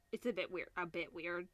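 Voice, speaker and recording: A woman's voice: lowish voice, a bit bright, no room sound. A woman doing a casual let's-play, reading a visual novel aloud with amused, wry asides. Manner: amused, wry asides between read passages.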